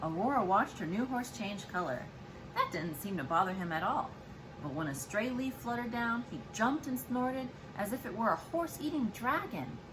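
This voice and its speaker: A woman's voice: fairly high pitch, moderate pace, noisy background. A woman, a mother, reading a children's storybook aloud expressively to her child. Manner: expressive, acts out dialogue and explains to child.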